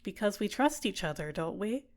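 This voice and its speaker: A woman playing a tenderly possessive, manipulative villain captor in an intimate ASMR roleplay, speaking in a soft, soothing, deliberate way. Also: mid-range voice, sing-song, lightly acted. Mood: warm, coaxing.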